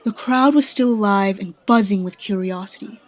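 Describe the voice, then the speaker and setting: A woman's voice: mid-range voice, moderate pace, a faint crowd. A woman giving scripted narration as the storyteller of a radio drama.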